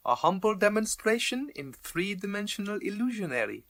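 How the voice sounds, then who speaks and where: A humble but wily antique-shop keeper in a radio play. He talks in stilted, elaborately polite broken English, always lowering himself as he passes on information. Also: sing-song, moderate pace, fairly bright tone, no echo, clean studio sound, full character voice. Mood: humble, cryptic. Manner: stilted, overpolite broken English, self-deprecating. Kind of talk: radio drama character dialogue.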